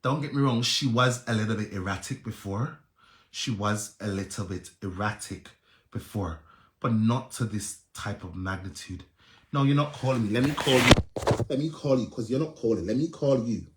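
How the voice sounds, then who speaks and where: A man's voice: fairly low pitch, moderate pace, fairly bright tone, echoey room. Urgent pleading man. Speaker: live stream host. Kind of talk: pleading live stream.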